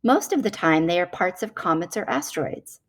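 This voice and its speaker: A woman reading a nonfiction children's book aloud in a measured, explanatory way. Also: lowish voice, expressive.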